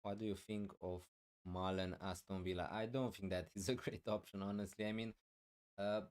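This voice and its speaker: Man, live streamer, low voice, smooth and clear, loud with lots of fillers, voice wearing thin. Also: fairly even intonation, normal speed. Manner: loud, thinking aloud with many fillers. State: losing his voice, clearing throat. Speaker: fantasy football streamer. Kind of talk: live stream answering chat questions.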